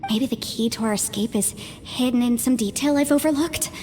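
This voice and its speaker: Woman acting as thoughtful young female game companion, highish voice, gentle, careful, earnest, long reverb. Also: normal speed, lightly acted. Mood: thoughtful, reassuring. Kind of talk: dramatic video game voice acting. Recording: long reverberant hall sound. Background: clear background music.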